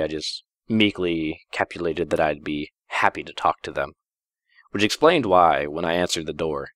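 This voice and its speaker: A man's voice: low voice, fairly bright tone, no echo, clean studio sound. A man narrating a first-person story in a wry, theatrical way. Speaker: fan fiction reader.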